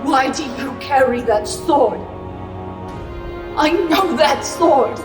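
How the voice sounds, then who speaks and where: Woman acting a shrill, anguished warrior mother, echoey. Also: takes it slowly. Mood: accusing, distraught. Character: wild, anguished warrior mother, crazed by long grief. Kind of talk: audio drama acting. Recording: roomy echo with music underneath.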